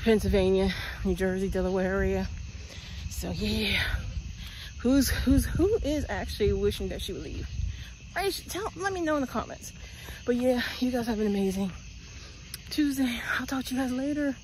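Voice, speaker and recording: Woman in her 40s walking at night, chatty and blunt, phone at arm's length, loud crickets and street hum around. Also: a little high, normal speed. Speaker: vlogger. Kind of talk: casual walking vlog. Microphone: phone at arm's length, earbuds.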